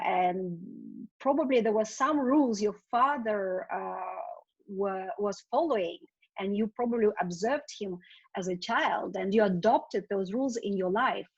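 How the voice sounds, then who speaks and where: Woman interviewer, husky, measured formal questions, muffled lo-fi sound. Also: medium pitch, a little mellow.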